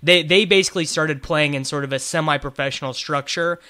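Bright-voiced male podcast host. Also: on the high side, brisk, clear, resonant tone, dry recording, studio quality. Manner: eager, rambling questions. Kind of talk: casual interview.

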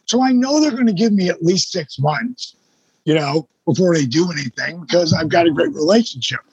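A man in his sixties with a husky voice, a guest telling his story in a blunt, emphatic way with some swearing. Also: a little high, animated, brisk, darkish tone, muffled recording. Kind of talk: casual storytelling in an interview.

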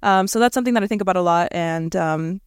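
A woman raised between Venezuela and Miami talks thoughtfully in a roundtable, pausing with ums. Her voice is smooth and clear. The sound is dry and close. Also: fairly low pitch, no room sound. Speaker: project manager, podcast guest. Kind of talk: casual roundtable discussion.

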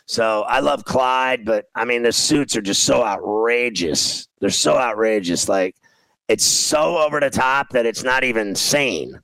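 A man in his fifties rambling through a casual comic rant as a talk show host, exasperated and self-deprecating, with a gravelly voice. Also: mid-range voice, a little slow, a bit bright, no room sound, low-quality recording.

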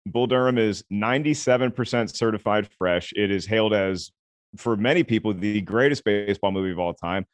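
A man with a deep voice, a film critic chatting as a podcast guest and telling relaxed stories. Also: a little fast. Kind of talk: podcast conversation.

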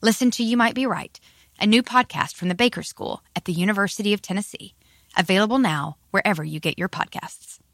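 A woman's voice: mid-range voice, a little fast, vocal fry, fairly bright tone, no room sound. Woman announcer; polished scripted promo read, dry.

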